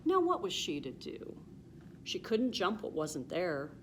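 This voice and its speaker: Animated American woman reading a children's story. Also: lowish voice, unhurried, slight background noise. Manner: animated, emphatic sing-song rhyming delivery. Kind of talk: expressive children's storybook read-aloud.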